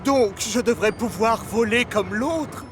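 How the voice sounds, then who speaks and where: Un homme qui double une locomotive-zeppelin sérieuse et expressive, rêvant de voler, dans un dessin animé en français. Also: male voice, sits high, slow pace, heavy background noise. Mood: earnest, wistful. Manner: earnest, expressive. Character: earnest male rail zeppelin engine, dreaming of flight. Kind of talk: French-dubbed children's cartoon.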